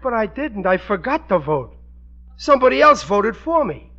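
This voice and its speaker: An actor playing a bumbling, soft-hearted middle-aged husband and father, folksy and blustery, with an American accent in 1940s radio comedy. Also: sits high, big pitch swings, normal speed, exaggerated voice. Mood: baffled, touched. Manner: folksy, blustery, plain-spoken. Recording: muffled 1940s radio broadcast.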